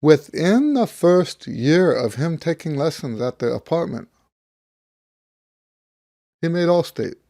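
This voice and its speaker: A middle-aged American man, slightly hoarse as he gets over losing his voice, talking casually and ramblingly like a teacher sharing experience. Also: fairly high pitch, sing-song, slow pace, long pauses, dark tone, no echo, studio quality. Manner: rambling, conversational, thinking aloud. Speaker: trumpet teacher. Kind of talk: casual talk to camera, personal anecdote.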